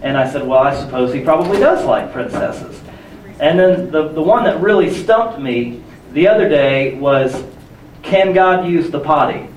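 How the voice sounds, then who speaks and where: A hoarse young man preaching a sermon in a conversational, self-deprecating way, with many restarts. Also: a little high, lively intonation, normal speed, slight background noise. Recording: echoey room from far off with faint ambience.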